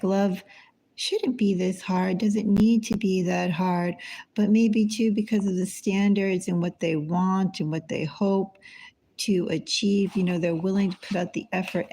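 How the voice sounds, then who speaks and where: A woman's voice: medium pitch, dark tone, a little hiss or hum, rough recording. A woman giving a casual tarot reading, rambling and thinking aloud, asking herself small questions as she goes. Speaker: tarot reader. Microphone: phone.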